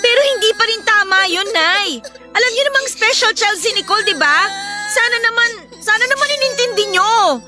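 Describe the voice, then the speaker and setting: An actress with a Filipino accent playing a protective, defiant elder daughter in a radio drama, emotional and pleading. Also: female voice, very high-pitched, animated, brisk, over loud music. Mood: defiant, upset.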